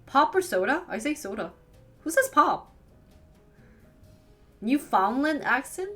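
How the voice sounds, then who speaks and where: Chatty Wisconsin woman, lively pitch. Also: soft background music. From United States. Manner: chatty, asks quick questions back. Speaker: live streamer. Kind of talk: casual chat answering viewers.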